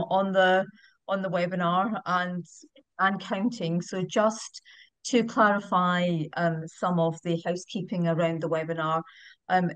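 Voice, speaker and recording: A woman's voice: on the low side, a bit bright, smooth, no echo, low-quality recording. A woman with a British accent hosting a webinar over a video call, fluent but with frequent ums. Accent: British English. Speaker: webinar host.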